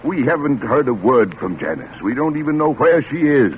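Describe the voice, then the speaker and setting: A man's voice: medium pitch, sing-song, normal speed, close to an everyday voice. An actor playing a concerned male colleague, earnest and insistent, in a 1940s radio drama. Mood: concerned, careful. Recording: tinny, faintly noisy 1940s radio recording.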